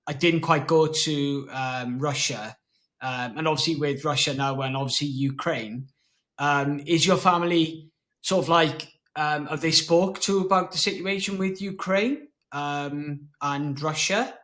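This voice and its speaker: Bright-voiced chatty male host. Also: a little high, fairly even intonation, unhurried. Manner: chatty, rambling, frequent fillers like obviously. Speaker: podcast host. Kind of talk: casual interview chat.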